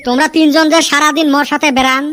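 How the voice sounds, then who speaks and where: A woman's voice: high voice, little pitch movement, racing, music in the background, lightly acted. A woman voicing a scheming male villager in a cartoon, speaking a Rangpur dialect and teasing his friend. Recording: noisy homemade recording.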